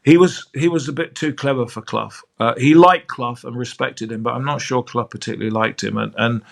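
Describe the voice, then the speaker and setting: A man's voice: mid-range voice, brisk, creaky. An older man, a veteran sports broadcaster, telling fond anecdotes in a chatty interview, often restarting his sentences.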